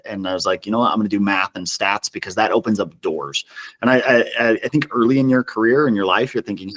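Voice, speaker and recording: A man speaking casually as an interview guest, telling stories in an animated, self-deprecating way. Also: fairly low pitch. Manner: self-deprecating, chuckles as he talks. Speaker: podcast guest, customer success executive.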